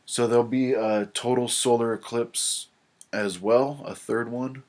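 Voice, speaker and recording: A man's voice: mid-range voice, fairly even intonation, unhurried, a little mellow. A man with a stuffed-up, nasal voice from a cold, talking casually to camera and reading from his notes with many ums and restarts. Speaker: astrology video presenter.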